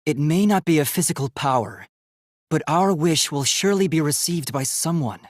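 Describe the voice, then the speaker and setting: A man voicing an earnest, determined and idealistic young male robot in a dramatic video game, with a youthful, light, slightly husky voice and a formal, emphatic delivery. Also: a little high, animated, moderate pace, no echo, clean studio sound, close to an everyday voice. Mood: solemn, resolved.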